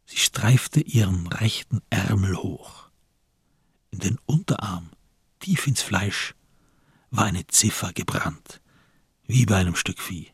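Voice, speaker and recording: An actor as the grave narrator of a crime radio play, reading scripted prose in a gravelly, husky voice. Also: male voice, deep voice, takes it slowly, long pauses, dry recording, clean studio sound, exaggerated voice.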